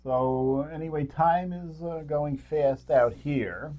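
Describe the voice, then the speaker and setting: A man's voice: on the high side, slow, mellow. An older man co-hosting a casual movie-review chat in a rambling way. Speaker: co-host.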